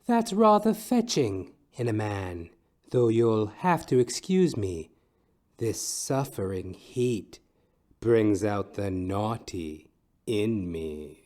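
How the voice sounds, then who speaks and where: A man playing a slow, sultry rich seductress. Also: fairly low pitch, very animated, darkish tone. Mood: sultry, teasing. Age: middle-aged. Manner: slow, coy, teasing, drawn-out flirtation. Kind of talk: noir detective audio play dramedy.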